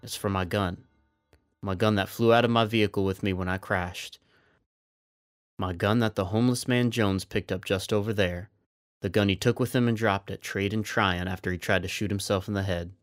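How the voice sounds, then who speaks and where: A man playing a resigned, trapped soldier in an audio drama, speaking in a measured, earnest, persuasive way. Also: lowish voice, fairly even intonation, smooth, no echo, studio quality, soft background music, lightly acted. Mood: grave, deliberate.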